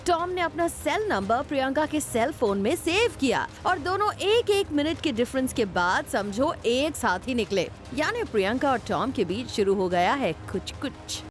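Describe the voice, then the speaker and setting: A woman voices a showbiz news report with theatrical, questioning flair and a slightly high pitch. Her tone rises and falls a great deal as she reads. Music plays clearly underneath her voice. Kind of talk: scripted entertainment news voice-over.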